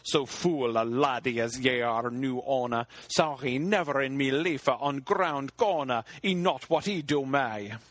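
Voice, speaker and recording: A man's voice: mid-range voice, measured pace, hardly any pauses, fairly bright tone, no echo. A man voicing a troubled medieval king, speaking archaic verse in a grave way.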